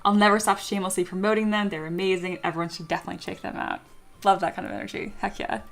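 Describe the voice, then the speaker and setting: A woman's voice: mid-range voice, rapid-fire, vocal fry. Bubbly young woman streamer. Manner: bubbly, excitable, laughs often, reads chat aloud. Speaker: streamer, 3D sculptor. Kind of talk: casual livestream chat with viewers.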